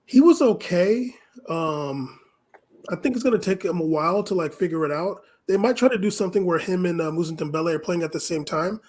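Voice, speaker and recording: A man with a fairly high-pitched voice chats as a podcast pundit about sport. His phrasing is loose and halting, with plenty of casual filler as he thinks aloud. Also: darkish tone. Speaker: podcast co-host and soccer pundit.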